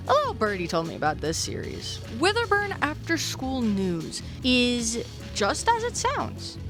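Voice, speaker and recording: A woman presenting a scripted video essay with casual asides and dramatic emphasis on key phrases. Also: a little high. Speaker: video essayist reviewing fiction podcasts. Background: background music.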